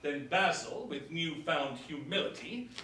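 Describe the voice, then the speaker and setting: A man's voice: on the high side, animated, a little slow, bright, forceful tone. A man playing a scheming, judgmental king and father in a staged verse comedy, with stately, declamatory delivery. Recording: echoey room, far mic, faint noise.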